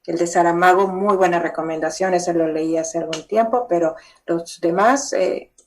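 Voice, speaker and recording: A woman's voice: on the low side, moderate pace, slight background noise. A woman speaking on a video call, hesitant and repeating words as she gives thanks. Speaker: meeting participant.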